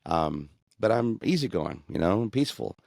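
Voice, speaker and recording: A middle-aged man with a very low, deep voice, speaking earnestly and with frequent restarts. His tone rises when he gets indignant. The recording is dry and close. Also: sing-song, moderate pace, creaky, a little mellow, no room sound. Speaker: guest sharing his divorce story. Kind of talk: candid conversational interview.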